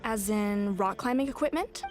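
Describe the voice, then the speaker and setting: A woman's voice: mid-range voice, normal speed, soft background music, natural voice. A woman playing a helpful, flustered young shopkeeper in a video game. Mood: surprised, obliging.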